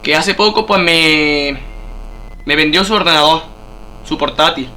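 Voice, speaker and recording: A man's voice: fairly high pitch, a little slow, bright, forceful tone, a little hiss or hum. A man with an accent from Spain making a prank phone call, insistent and often repeating himself. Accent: Spanish (Spain). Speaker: prank caller. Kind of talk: prank phone call, pretending to be a cheated buyer.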